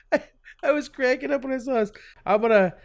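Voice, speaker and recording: A man's voice: extremely high pitch, normal speed, no room sound. A man with a stuffy cold hosting his gaming channel, chatting casually over gameplay, laughing often and full of fillers and restarts.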